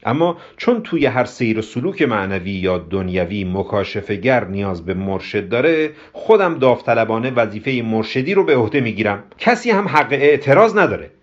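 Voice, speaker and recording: Male narrator as jovial bossy man, loud, swooping pitch, raspy, audiobook character, dull recording. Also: big pitch swings, few pauses, close to an everyday voice. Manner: loud, emphatic, swings into exaggerated formality. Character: boisterous, bossy, jovial man, self-appointed spiritual guide. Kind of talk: acted audiobook storytelling.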